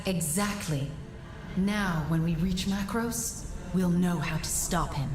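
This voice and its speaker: Solemn, low-pitched guide. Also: female voice. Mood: solemn, commanding. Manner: measured, formal, commanding. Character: solemn, authoritative female guide and strategist. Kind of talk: dramatic video game mission briefing. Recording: echoey space, music underneath. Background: music.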